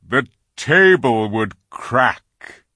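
A man voicing a great, kind lion, speaking slowly and gravely. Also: mid-range voice, animated, sharp tone, no room sound, studio quality, voice pushed up, heavily acted. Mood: solemn. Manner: slow, grave and resonant. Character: great male lion, solemn, majestic and kind.

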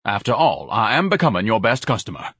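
A man's voice: lowish voice, sing-song, a little fast, fairly bright tone, husky, dry recording, clean studio sound, exaggerated voice. A man voicing a pompous, self-pitying, overeducated fat man who speaks in grand, ornate phrases and is easily outraged, in an audiobook. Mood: self-important.

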